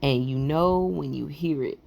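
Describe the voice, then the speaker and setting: A woman hosting a motivational podcast, talking in a loose, rambling way with a raspy, froggy voice, hoarse and short on sleep. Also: deep voice, measured pace, a little mellow. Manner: loose, rambling encouragement with casual slang. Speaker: podcast host.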